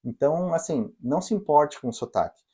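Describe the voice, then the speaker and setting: A Brazilian man with a mellow, warm tone co-hosts a casual podcast chat. He explains things patiently and speaks straight to his listeners with practical advice. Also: on the high side, animated, brisk, breathy edge. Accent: Brazilian Portuguese. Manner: explanatory, advising listeners directly. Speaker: podcast co-host. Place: living in Canada.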